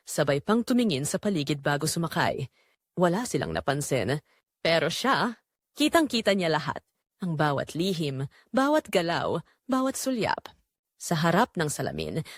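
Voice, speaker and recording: A woman with a Filipino accent narrates a scripted story dramatically, in measured, suspenseful short phrases. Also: on the low side, no echo, clean studio sound.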